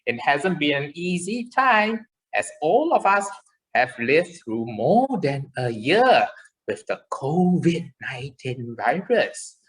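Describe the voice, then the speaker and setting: Children's puppet show: woman as caring, chirpy child puppet, very animated pitch, unhurried, exclamatory delivery. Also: full character voice, low-quality recording. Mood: earnest, reassuring. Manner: slow, exclamatory, encouraging, simple explanations.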